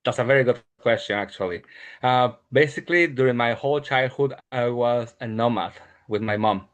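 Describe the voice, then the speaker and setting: Interview guest: Mexican man, early thirties, native Spanish speaker, medium-pitched, casual delivery. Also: moderate pace, clear, resonant tone. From Mexico City and State of Mexico, Mexico.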